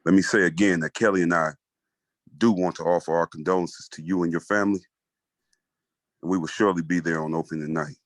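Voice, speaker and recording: A man in a staged reading playing a weary, cautious city mayor, speaking in a measured, hesitant way. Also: low voice, fairly even intonation, no echo, natural voice. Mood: measured, pressing.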